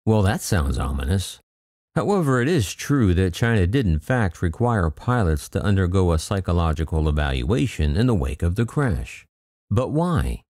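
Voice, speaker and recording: A man's voice: low voice, big pitch swings, normal speed, darkish tone, dry recording, studio quality. A man hosting an aviation channel, reading news reports aloud in a scripted commentary and adding wry, skeptical asides. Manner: reads news quotes, then adds wry skeptical asides.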